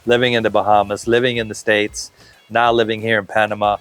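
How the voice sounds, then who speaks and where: A man's voice: lowish voice, fairly quick. A man in his fifties, speaking casually and at length in an interview, with fillers and restarts. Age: 50s. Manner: relaxed, talkative, lots of fillers and restarts. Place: outdoor covered patio, tropical garden.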